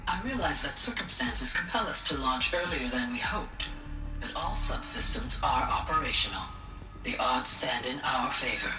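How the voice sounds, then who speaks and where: Low-voiced, echoing female artificial intelligence. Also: animated. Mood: tender reassurance. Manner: formal, measured, precise wording. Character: gentle, earnest female artificial intelligence, curious and compassionate. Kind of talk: video game voice acting. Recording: roomy echo, slightly distant, music behind.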